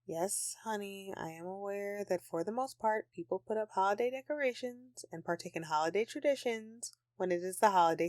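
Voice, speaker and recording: A woman's voice: lowish voice, a little mellow, no echo, clean studio sound, natural voice. A woman playing a grumpy but affectionate Christmas elf in an intimate audio roleplay, with a sarcastic, dramatic, sighing delivery.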